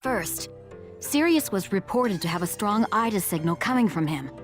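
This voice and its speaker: A woman voicing a cool, businesslike female agent in a game, crisp and matter-of-fact as she briefs. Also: mid-range voice, expressive, normal speed, soft background music, natural voice. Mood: briefing.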